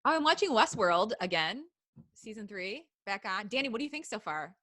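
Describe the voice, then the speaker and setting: Female podcast co-host from Detroit, bright edge, giggly bawdy banter. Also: mid-range voice, big pitch swings, brisk. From Detroit, USA. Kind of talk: casual live podcast chat.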